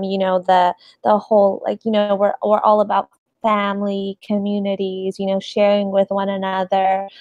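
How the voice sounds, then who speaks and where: A woman's voice: medium pitch, no echo. A young adult woman speaking on a panel, hesitant and full of fillers, on a dull lo-fi recording. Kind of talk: casual panel discussion. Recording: dull lo-fi audio.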